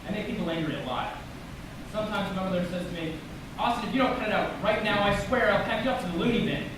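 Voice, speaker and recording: Guy acting anxious literal young man in a play, high voice, really echoey and far from mic. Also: quick pace, lightly acted. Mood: anxious, apologetic. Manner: literal, over-explaining, earnest. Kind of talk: live stage play acting. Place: theatre stage, recorded from a distance. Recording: very echoey noisy stage recording from afar.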